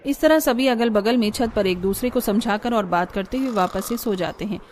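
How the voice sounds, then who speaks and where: A woman's voice: fairly high pitch, normal speed, darkish tone, a little hiss or hum. A woman narrating a cartoon story in plain, scripted storytelling.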